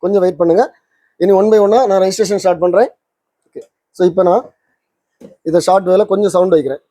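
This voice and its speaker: Male demo host, brisk and explanatory, close dry sound, casual English-sprinkled delivery. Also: high voice, steady tone, warm tone, no echo. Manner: explanatory, mixes English words into his talk. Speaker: channel host and electronics maker demonstrating a kit. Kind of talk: casual product demonstration to camera.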